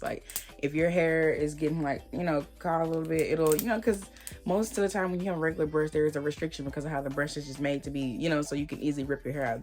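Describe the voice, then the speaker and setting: A woman with a slightly hoarse voice, chatting casually and rambling as she reviews hair products. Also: sits low, darkish tone. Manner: chatty, rambling, lots of fillers. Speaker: hair vlogger.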